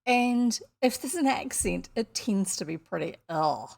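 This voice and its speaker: Chatty New Zealand woman, gravelly edge. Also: fairly high pitch, expressive, slow pace, a little mellow. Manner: rambling and chatty, many fillers. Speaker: storytelling streamer. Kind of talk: casual chat to viewers.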